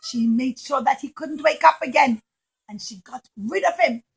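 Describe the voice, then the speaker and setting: A woman with a high voice tells a story to young children as part of a puppet show. She speaks in simple words with dramatic emphasis, addressing the children directly. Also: moderate pace, slightly rough.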